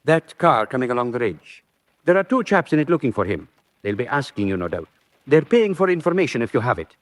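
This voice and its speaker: A male actor plays a formal, officious surveyor from a radio play. His mid-pitched voice moves about freely and sits dry, with no room around it. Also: very animated, fairly quick, no echo, natural voice. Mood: businesslike. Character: brisk, officious county road surveyor man. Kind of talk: radio drama acting.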